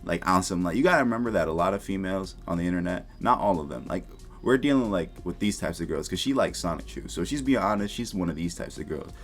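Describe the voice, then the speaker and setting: A man's voice: sits low, animated, fast. A man giving casual reaction commentary in a blunt, slangy, profane way. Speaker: YouTube commentator. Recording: music behind the voice. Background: background music.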